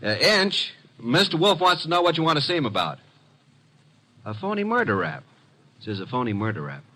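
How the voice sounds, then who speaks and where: An actor playing a breezy, wry detective's assistant and narrator in slangy old radio drama style. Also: male voice, a little high, big pitch swings, moderate pace, pushed and bright, exaggerated voice. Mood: brisk, wry. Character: brisk, wisecracking male detective's assistant and narrator. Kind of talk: 1940s-50s radio detective drama acting. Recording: noisy vintage radio recording.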